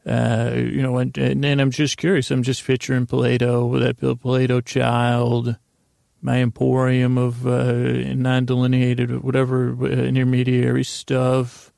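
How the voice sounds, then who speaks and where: A man with a creaky voice hosting a bedtime podcast in a meandering, halting monologue that stammers and interrupts itself with gentle humor. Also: medium pitch, monotone, no echo, clean studio sound. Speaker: podcast host.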